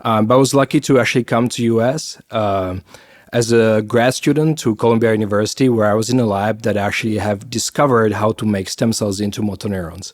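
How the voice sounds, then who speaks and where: A man's voice: fairly low pitch, a little fast. A middle-aged man from the Czech Republic with a Czech accent, explaining science in a podcast interview with many fillers and restarts. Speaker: biotech founder.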